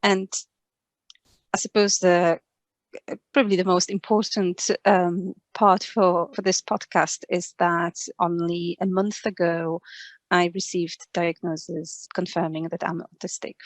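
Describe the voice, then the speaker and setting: A woman in her forties speaks English with a Polish accent. Her voice is slightly low, and she talks in a reflective, conversational way, pausing and repeating words as she goes. The recording is close and dry. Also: level tone, no room sound. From Poland. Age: 40s. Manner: hesitant, halting, with repeated words. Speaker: interview guest, speech and language therapist.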